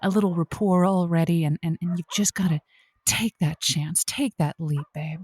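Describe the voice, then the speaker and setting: A woman's voice: lowish voice, normal speed, a little mellow, husky, dry recording. A woman playing a chipper, folksy radio host in improvised audio comedy, encouraging and full of pet names.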